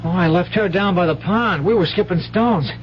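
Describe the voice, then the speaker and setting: A man's voice: high-pitched, normal speed, lightly acted. An actor playing a polite, dutiful country boy with a youthful, folksy American voice in a radio drama. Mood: polite, earnest. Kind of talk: old-time radio drama acting. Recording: dull, noisy 1970s radio recording with hum.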